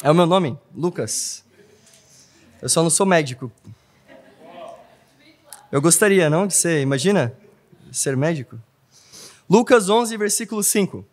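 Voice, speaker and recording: A man's voice: on the high side, unhurried, long pauses. A young man from Brazil with a Brazilian Portuguese accent, preaching a sermon in a church hall, telling stories and often checking in with "não?". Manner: animated storytelling, asks "não?" often.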